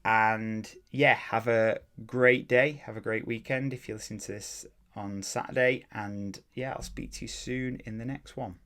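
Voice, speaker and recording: Low-voiced fitness coach rambling. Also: male voice, measured pace, few pauses. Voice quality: plain, even voice. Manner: rambling, self-correcting, drops into mild ranting. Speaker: fitness coach and podcast host. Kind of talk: casual solo podcast talk.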